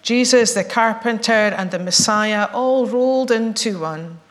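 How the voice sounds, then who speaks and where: A woman minister with a slightly croaky voice, leading a slow, measured prayer and then chatting playfully with children as she hands out water. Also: on the high side. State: croaky, recovering voice. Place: church sanctuary. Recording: echoey church sanctuary.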